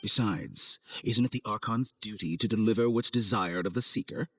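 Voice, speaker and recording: An actor playing an arrogant villain scientist in a game, with a measured, condescending, theatrical delivery. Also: male voice, fairly low pitch, a bit bright. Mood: sly, persuasive. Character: suave, arrogant male villain scientist, coldly amused schemer. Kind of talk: video game cutscene acting. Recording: studio voice over game music.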